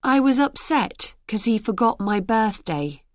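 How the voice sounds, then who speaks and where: A woman reading short example sentences clearly and expressively for a language course. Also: fairly high pitch, big pitch swings, a little slow, no echo. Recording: dry studio recording.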